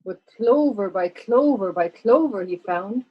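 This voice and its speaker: A woman's voice: mid-range voice, lively intonation, a little slow, slightly rough. A woman narrating a rhyming children's story from a script, on a slightly muffled recording.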